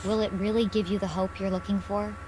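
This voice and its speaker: A woman's voice: medium pitch, flat delivery, a little fast, very noisy, natural voice. A woman playing an elegant, composed young gambler in a video game, speaking with polite, refined poise. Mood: composed, wistful.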